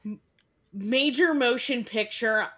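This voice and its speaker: A woman's voice: on the high side, slow, a bit bright. An American woman co-hosting with casual banter. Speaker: co-host.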